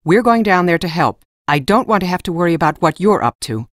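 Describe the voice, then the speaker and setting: A woman's voice: lowish voice, lively intonation, brisk, no room sound, studio quality, natural voice. A woman playing a protective, earnest mage in an audiobook drama, speaking firmly and expressively. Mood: stern, protective.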